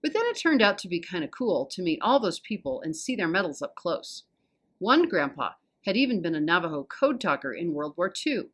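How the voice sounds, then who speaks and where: A woman with an American accent reading a children's novel aloud as an expressive storyteller. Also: on the low side, fairly quick. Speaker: volunteer storybook reader.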